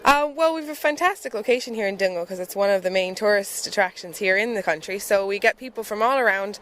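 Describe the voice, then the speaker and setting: Woman, mid pitch, informative but halting speaker. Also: a bit bright, slight background noise. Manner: hesitant, many "um" and "uh" fillers. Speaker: animal sanctuary manager. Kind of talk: informational interview.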